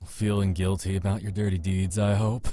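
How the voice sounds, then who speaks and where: Man playing a low-voiced teasing lover. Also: flat delivery, normal speed, warm tone, a little hiss or hum, lightly acted. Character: teasing, blunt male lover waking up in bed. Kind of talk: visual novel voice acting.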